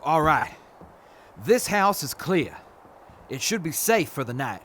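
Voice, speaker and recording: A man's voice: a little high, sing-song, slow, fairly bright tone, a little hiss or hum, exaggerated voice. A man playing a helpful, cautious survivor in an audio drama. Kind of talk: post-apocalyptic audio drama acting.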